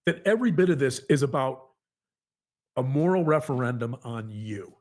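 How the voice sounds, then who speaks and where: A man talking earnestly to a co-host in a halting, repetitive, emphatic conversational monologue. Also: medium pitch, lively intonation, a little mellow. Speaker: podcast co-host, psychologist.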